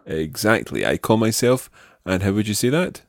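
Low-voiced male language teacher with lively intonation. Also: normal speed, no room sound, clean studio sound. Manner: patient, instructive, carefully enunciated. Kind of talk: spoken language lesson, prompting and repeating phrases.

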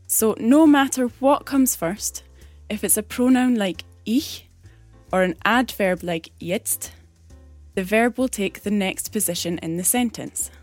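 A woman explaining a grammar rule in a clear, patient teaching manner, as a recorded segment. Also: medium pitch, unhurried, no room sound, soft background music. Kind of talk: scripted explanation. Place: recorded separately from the studio.

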